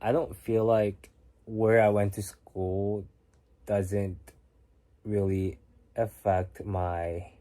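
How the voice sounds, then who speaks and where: A soft-spoken young man from Korea chats to his livestream late at night. His voice sits a little low with a mellow, warm tone. He muses aloud and now and then sinks to a near whisper. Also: little pitch movement, drawn-out words, long pauses. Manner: soft-spoken, musing, sometimes drops to a whisper. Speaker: livestream host reading chat. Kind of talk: casual late-night chat. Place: home at night.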